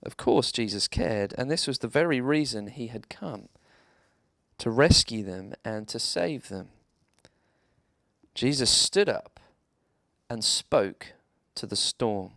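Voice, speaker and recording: A man, a reverend, telling a children's bedtime story in a measured, dramatic way with deliberate pauses. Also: mid-range voice, expressive, gravelly, fairly bright tone, no echo, studio quality.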